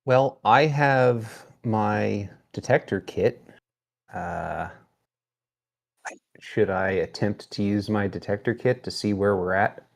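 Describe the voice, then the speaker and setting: A man's voice: fairly low pitch, slow pace, gravelly. A man chatting casually as a player in a tabletop roleplaying game.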